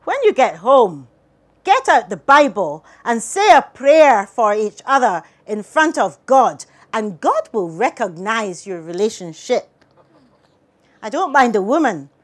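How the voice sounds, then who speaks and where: A woman's voice: a little high, sing-song. A woman voicing an older preacher father in a memoir reading, urging, repetitive and slyly nosy. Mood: benevolent, earnest. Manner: urging, repetitive, drawn-out emphasis.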